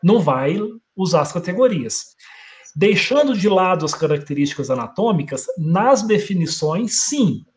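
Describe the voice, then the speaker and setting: A middle-aged man on a video call, giving a relaxed explanatory talk and thinking aloud, often ending sentences with a tag question. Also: high-pitched, moderate pace. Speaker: guest lecturer. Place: home room, indoors.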